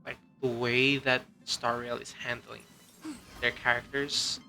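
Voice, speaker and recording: A man's voice: medium pitch, flat delivery, unhurried, gravelly, bright, forceful tone, soft background music. A man giving casual, rambling game commentary as a streamer, with lots of "like" and some casual swearing.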